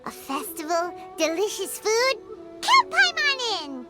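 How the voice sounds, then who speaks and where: A woman voicing a tiny, cheeky, childlike fairy girl in an anime game, with a squeaky voice. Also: huge pitch swings, measured pace, sharp tone, soft background music, exaggerated voice. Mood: eager. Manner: childlike, refers to self in third person.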